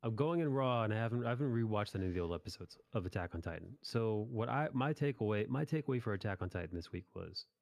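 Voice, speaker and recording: A man's voice: on the low side, expressive, fast talker, creaky, hardly any pauses, darkish tone, dry recording. A man hosting a casual chat about anime, talking informally in rambling recaps with some swearing. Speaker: podcast host.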